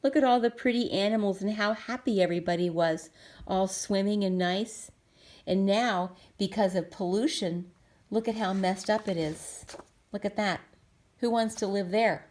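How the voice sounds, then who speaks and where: A woman's voice: mid-range voice, moderate pace, darkish tone. A woman reading a children's book aloud expressively, breaking off for simple chatty asides and questions to young listeners. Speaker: storybook reader.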